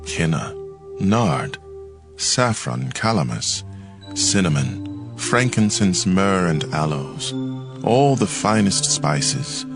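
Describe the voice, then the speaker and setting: An actor playing a tender, adoring bridegroom in a dramatized audio Bible, speaking in a reverent, romantic, lingering way over music. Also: male voice, low-pitched, big pitch swings, takes it slowly, full character voice. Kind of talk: dramatized audio Bible, slow poetic love speech. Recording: music bed behind the voice.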